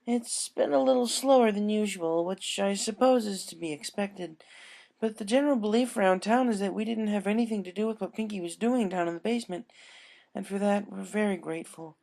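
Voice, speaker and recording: A narrator voicing a kindly, apologetic female pony shopkeeper with a soft, polite, motherly manner in a story. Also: mid-range voice, fairly quick, creaky, voice pushed up, close to an everyday voice. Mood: regretful.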